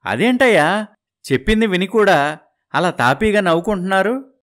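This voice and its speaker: A man's voice: high-pitched, animated, moderate pace, fairly bright tone. A man voicing earnest village men giving advice in a scripted cartoon moral story. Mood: concerned.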